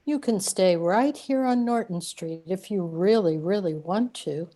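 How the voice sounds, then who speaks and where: Woman as mellow, reassuring guide. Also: medium pitch, measured pace, natural voice. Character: calm female companion gently reminding and guiding. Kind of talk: radio play acting.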